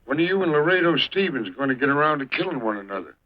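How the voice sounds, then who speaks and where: Folksy old western marshal, muffled soundtrack. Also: male voice, on the high side, very animated, quick pace. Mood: dry, probing. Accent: American. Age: older. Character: weathered old territorial marshal, shrewd, patient, drily menacing. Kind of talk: 1940s western film acting.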